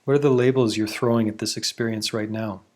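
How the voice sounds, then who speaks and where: Calm, slow-speaking male meditation guide. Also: fairly low pitch. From Canada. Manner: slow, calm, soothing instructions with long pauses.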